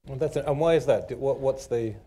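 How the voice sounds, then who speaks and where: Brisk, husky-voiced panel moderator man. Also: mid-range voice, mellow. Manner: brisk, questioning.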